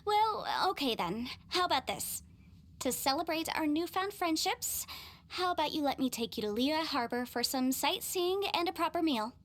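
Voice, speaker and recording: A woman's voice: high voice, lively intonation, normal speed, fairly bright tone. A woman voicing a courteous, formal young female advisor in a game cutscene. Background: game music.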